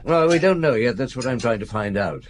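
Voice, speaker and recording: A man's voice: mid-range voice, expressive, fairly quick, slight background noise, lightly acted. A man playing a weary, dry chief inspector. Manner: dry, patient, murmuring. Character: weary, hungry middle-aged police chief inspector. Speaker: detective. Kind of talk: 1990s BBC radio drama acting.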